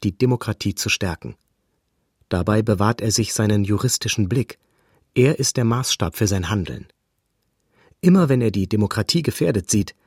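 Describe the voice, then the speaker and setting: A man reading calm, factual documentary narration. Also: on the low side, husky, dry recording, clean studio sound.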